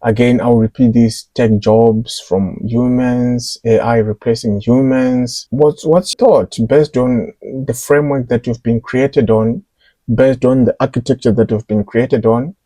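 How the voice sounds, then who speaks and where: Mellow, husky male podcast host. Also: mid-range voice, steady tone, unhurried, dry recording. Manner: hesitant, with fillers and repeated words. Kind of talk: casual interview questions.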